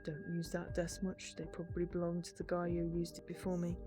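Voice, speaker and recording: A woman's voice: fairly low pitch, monotone, a little fast, music in the background, natural voice. A woman reader voices a sullen male agent in a visual novel. He is terse and clipped, giving little away. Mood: defensive, flat.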